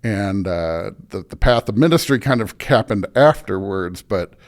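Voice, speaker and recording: A man's voice: lowish voice, expressive, moderate pace. A middle-aged American man chatting casually and telling anecdotes as an interview guest on a podcast.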